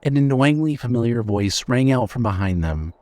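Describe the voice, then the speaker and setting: A man narrating dark fiction from a script in a dramatic storytelling style. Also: mid-range voice, animated, moderate pace.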